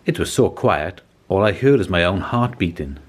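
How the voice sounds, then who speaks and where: A man reading a children's story aloud as scripted narration, in an expressive storytelling manner. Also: deep voice, normal speed, husky. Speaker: storybook reader.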